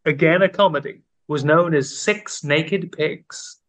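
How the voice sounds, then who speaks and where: Playful quizmaster man, slightly high voice. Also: a little slow, fairly bright tone. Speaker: podcast co-host running a quiz. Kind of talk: casual comedic chat.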